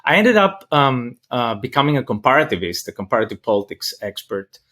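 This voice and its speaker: A man from Greece with a Greek accent answers interview questions as a professor, thoughtful and halting as he searches for words. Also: medium pitch, sing-song, pushed and bright. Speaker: interview guest, political science professor.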